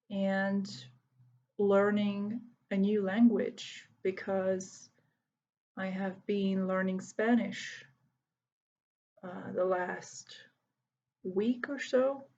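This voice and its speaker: A Hungarian woman in her thirties, speaking English as a second language. She introduces herself to students at a slow, careful pace, and her voice is slightly husky. The recording sounds a little dull and muffled. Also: mid-range voice, a little mellow. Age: 30s. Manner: slow, careful, with many pauses and fillers. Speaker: volunteer English teacher.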